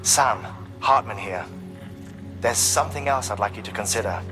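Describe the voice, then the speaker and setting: A man playing an erudite, deliberate scientist in a video game, explaining a grave theory with formal, precise phrasing. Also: on the low side, music in the background, close to an everyday voice. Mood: grave, contemplative. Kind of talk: scripted dramatic game dialogue.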